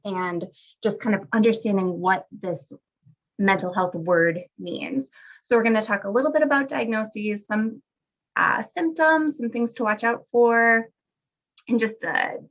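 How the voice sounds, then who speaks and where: Woman, gravelly voice, recovering from a cold, friendly webinar presenter, muffled lo-fi call audio. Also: mid-range voice. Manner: friendly, conversational, with fillers. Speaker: guest presenter, parent educator. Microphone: video call.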